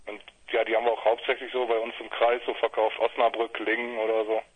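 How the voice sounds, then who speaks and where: A man's voice: medium pitch, monotone, quick pace. A man in his thirties from northwestern Germany, a fanzine maker talking casually over the phone, rambling and hesitant with fillers. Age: 30s. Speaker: fanzine maker, interview guest. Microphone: telephone. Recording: tinny phone line, husky.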